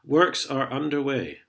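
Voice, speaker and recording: A man's voice: mid-range voice, creaky, bright, forceful tone. A man with a sniffly head cold, chatting in a sarcastic vlog and chuckling as he reads letters aloud. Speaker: vlogger. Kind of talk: casual ranting vlog.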